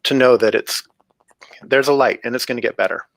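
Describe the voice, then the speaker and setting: A man's voice: medium pitch, steady tone, brisk, creaky, a bit bright, rough recording. A man speaking as a guest author in a casual interview, earnest and repeating himself for emphasis.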